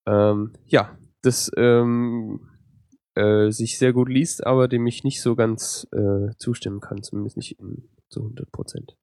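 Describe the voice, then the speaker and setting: A man with a cold co-hosting a podcast, talking in a relaxed, rambling way. His voice sits at a middle pitch, and the sound is dry and close-miked. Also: a little slow, gravelly, dry recording. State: has a cold. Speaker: podcast co-host. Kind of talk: casual podcast chat.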